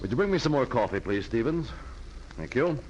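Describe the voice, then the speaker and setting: An actor playing a stern, responsible, proper brother in a 1940s radio drama. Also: male voice, medium pitch, very animated, fairly quick, a little mellow, soft background music, close to an everyday voice. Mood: composed. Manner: proper, reproachful. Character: stern, responsible brother running the family brokerage. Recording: muffled, hissy 1940s radio recording.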